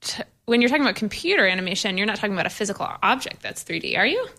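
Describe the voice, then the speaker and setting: Female panelist, mid pitch, bright forward tone, casual film chat. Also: very animated, rapid-fire. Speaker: panelist asking questions.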